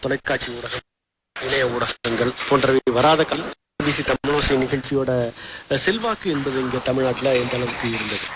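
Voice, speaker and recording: A man's voice: mid-range voice, moderate pace. A man with an Indian Tamil accent asking questions as a radio interviewer. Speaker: news correspondent, interviewer. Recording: noisy muffled shortwave radio broadcast.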